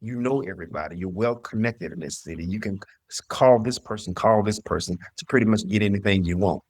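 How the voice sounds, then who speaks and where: A man's voice: on the low side, fairly quick, breathy edge, dry recording. A middle-aged man from the southern US telling his personal story as an interview guest, emphatic and repeating phrases as he voices his own past thoughts. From southern US (Mississippi, North Carolina).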